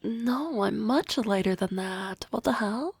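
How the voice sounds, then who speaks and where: A woman voicing an energetic young female harpy classmate in an ASMR roleplay, talking softly and often in a whisper with a teasing manner. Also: mid-range voice, slow pace, husky, no room sound, full character voice. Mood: playful.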